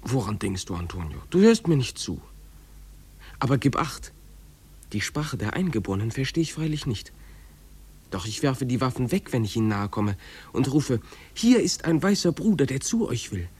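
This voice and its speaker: A man's voice: mid-range voice, big pitch swings, moderate pace, a little mellow, breathy edge, heavily acted. An actor playing an eager, dreamy runaway boy in a 1950s German radio drama, speaking with fervent, pleading delivery. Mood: proud proclaiming.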